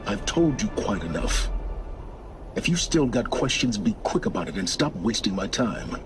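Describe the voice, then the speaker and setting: An actor playing an aloof, impatient, secretive middle-aged wanderer and scholar in a video game, speaking in a measured, condescending, curt way. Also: male voice, on the low side, sing-song, music in the background. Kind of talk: video game cutscene dialogue.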